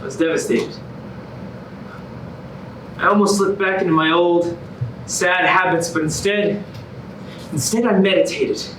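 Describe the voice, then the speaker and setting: An echoey, distant stage recording of a male actor as an earnest, theatrical man in a musical comedy, pleading and eager. His voice sits high with a bright, forceful edge. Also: measured pace, pauses often, slight background noise. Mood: dejected. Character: Oliver, eager, self-improved man desperate to win back his ex. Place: staged reading in a reverberant room. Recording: echoey room, distant microphone.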